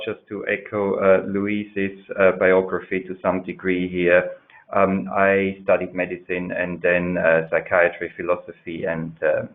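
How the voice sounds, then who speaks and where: A man, a psychiatrist working as a health consultant, introducing himself in a measured way with many uhs and some dry humour. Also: low voice. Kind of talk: webinar introduction. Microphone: video call. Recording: tinny webinar audio.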